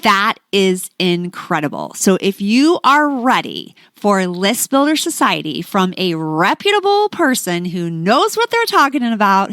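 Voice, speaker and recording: A woman's voice: mid-range voice, a bit bright, no room sound. Enthusiastic woman podcast host, dry close sound. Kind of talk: conversational podcast hosting with sponsor read.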